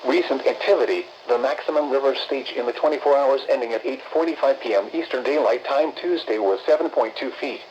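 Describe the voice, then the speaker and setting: Over a dull, noisy radio broadcast, a synthetic male voice of medium pitch reads official weather alerts. The delivery is impersonal and formal, moving through short, clipped phrases as an automated announcer would. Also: a little mellow. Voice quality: synthetic text-to-speech voice. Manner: impersonal, evenly measured, clipped list-like phrasing. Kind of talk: formal scripted emergency weather bulletin.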